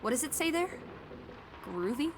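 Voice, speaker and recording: A woman's voice: sits high, big pitch swings, normal speed, a little hiss or hum. An actress playing a sociable, cheeky young woman of the 1920s, theatrical and teasing, in a period drama video game. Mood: playful teasing. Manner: playful, teasing, old-fashioned slang.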